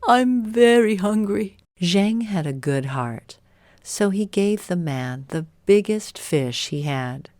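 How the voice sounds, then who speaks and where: A woman's voice: sits low, expressive, slow pace, mellow, dry recording, clean studio sound. A woman reading a scripted bedtime story as narrator.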